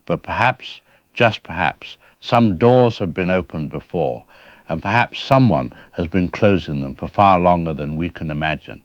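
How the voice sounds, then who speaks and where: An actor playing a sinister, courteous horror host who muses on monsters in a slow, ominous, formal way. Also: male voice, sits low, expressive. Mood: ominous, musing. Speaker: narrator host introducing the show. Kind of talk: ominous scripted horror anthology introduction. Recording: echoing, slightly muffled sound.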